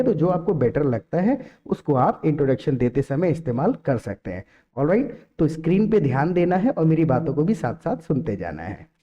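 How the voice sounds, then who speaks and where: A man with an Indian accent teaching a step-by-step language lesson, instructional, repeating example sentences slowly and deliberately. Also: fairly high pitch, highs cut off. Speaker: English teacher. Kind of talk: step-by-step spoken English lesson.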